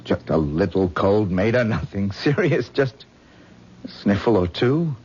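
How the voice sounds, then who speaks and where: A charming, childish actor husband in a 1970s radio drama. His husky, lowish voice swings widely as he plays innocent. The old broadcast recording is dull. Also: big pitch swings, a little slow, darkish tone, heavily acted. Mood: defensive. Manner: playful, evasive, defensive.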